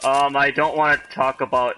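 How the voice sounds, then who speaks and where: A man streams a game with a mid-pitched voice. He talks casually and haltingly, often breaking off and starting over. Clear background noise sits behind him on the recording. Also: little pitch movement. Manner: halting, rambling storyteller who often breaks off. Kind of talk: casual livestream chat.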